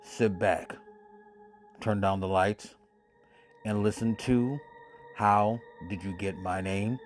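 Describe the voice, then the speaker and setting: A man's voice: fairly low pitch, steady tone, faint music behind. A man hosting a horror radio podcast, introducing episodes in an enthusiastic, emphatic way. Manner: enthusiastic, emphatic, drawn-out words. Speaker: podcast host.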